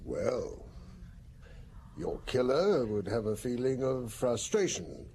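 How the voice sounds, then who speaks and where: Brief: a mellow, reflective psychiatrist professor, lively inflection, faint hiss. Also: male voice, medium pitch, slow. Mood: analytical. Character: thoughtful male psychiatrist professor, middle-aged. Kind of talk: radio drama acting.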